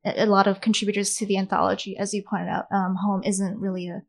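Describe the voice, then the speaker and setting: A woman whose first language is not English, answering a panel question in a thoughtful, measured way with many fillers. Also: medium pitch, flat delivery, creaky. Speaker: author on a panel.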